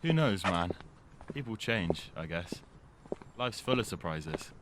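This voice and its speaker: A man's voice: deep voice, animated, slow pace. A young man acting an easygoing, chatty teenage skater boy in a scripted audio drama, with a casual conversational delivery. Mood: gossipy, amused. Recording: clearly noisy amateur recording.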